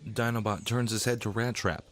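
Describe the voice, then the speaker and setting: A man's voice: lowish voice, fairly even intonation, moderate pace, background noise. A man reading scripted scene narration for a radio drama.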